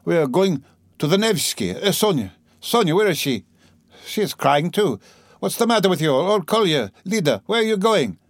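A male reader plays an impoverished gentlewoman on the edge of hysteria in a storybook. The voice is pitched well up, lofty and wailing, and breaks into frantic scolding. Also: very animated, normal speed, no echo, clean studio sound. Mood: alarmed, screaming. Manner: lofty, wailing, rambling, abruptly switching to scolding. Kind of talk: dramatised audiobook character reading.

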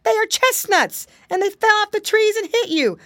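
A playful little know-it-all monkey from a children's storybook, played by a woman. The voice is pitched up high and very animated, explaining things with eager exclamations. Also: moderate pace, no room sound, studio quality, heavily acted. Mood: amused. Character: playful, knowing male hand organ monkey.

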